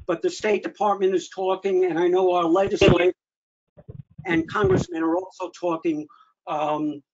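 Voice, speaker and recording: A man with a notably high voice hosts a remote meeting and delivers an informal briefing. He speaks haltingly, often pausing in the middle of sentences. The sound is slightly muffled, low-fidelity conference audio. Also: expressive, vocal fry, background noise. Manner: halting, with frequent pauses mid-sentence. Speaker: meeting host, association official giving updates. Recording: dull lo-fi online meeting audio.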